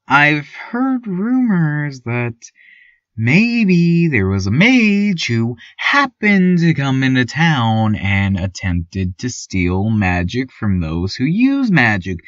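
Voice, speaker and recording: A man playing a timid, awkward, eerily cheerful small-town radio news host, stammering and pausing through a surreal fiction podcast monologue. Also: medium pitch, dry recording. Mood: hesitant, reporting. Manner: hesitant, stammering, many ums and pauses. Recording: dull, lo-fi, slightly muffled sound.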